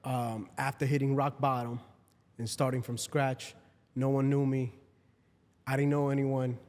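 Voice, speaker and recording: A man's voice: medium pitch, level tone, unhurried, darkish tone. A man in his thirties from Ecuador, raised in Queens, chatting casually as a podcast guest. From Ecuador, grew up in Queens, New York. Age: 30s. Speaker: podcast guest, real estate entrepreneur. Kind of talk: casual chat. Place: indoor podcast studio. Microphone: close boom-arm studio microphone. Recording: roomy studio with long reverb.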